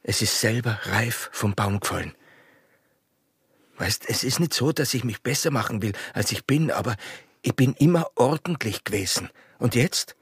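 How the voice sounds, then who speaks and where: An actor playing a tormented, infatuated border guard in a radio drama, with an Austrian accent, a breathy, husky voice and a brooding, halting delivery. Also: male voice, mid-range voice, animated, no echo, clean studio sound. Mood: agitated, desperate. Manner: brooding, halting, swinging from murmur to outburst. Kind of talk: German radio drama acting, intimate.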